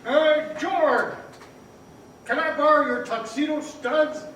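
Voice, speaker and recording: An actor playing a bright, inquisitive, boyish young fellow in an old-time radio drama on stage. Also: male voice, very high voice, takes it slowly, exaggerated voice. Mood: breezy. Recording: very echoey, distant stage recording, faint noise.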